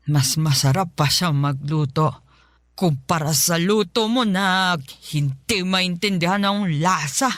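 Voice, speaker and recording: A woman playing a resentful, partly paralysed mother in a Tagalog radio drama, halting and reproachful, cruel to her child. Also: on the low side, no echo, studio quality. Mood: stubborn, dismissive. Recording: music bed behind the voice.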